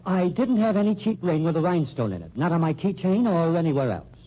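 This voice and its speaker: An actor playing a timid, respectable American murder suspect, stammering and indignant in 1940s radio style. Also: male voice, fairly high pitch, animated, quick pace, warm tone. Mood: defensive. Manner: stammering, protesting. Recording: very dull old radio recording.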